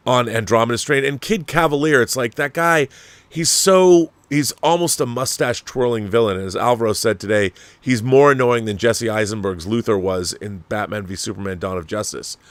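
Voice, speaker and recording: A man with a medium-pitched voice, recorded dry with little room sound. He talks off the cuff like a show host giving strong opinions, rambling and doubling back on words, leaning hard on his points with blunt language. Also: no room sound. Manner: rambling, emphatic, repeats words, swears freely. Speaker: host of a film and TV commentary show. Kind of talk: casual opinionated commentary, answering viewer messages.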